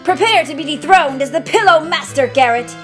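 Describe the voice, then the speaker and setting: A woman's voice: high voice, lively intonation, fairly quick, faint music behind. A woman playing a bold young fighter, a teasing older sister, in an audio drama, with a casual dry delivery. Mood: cocky.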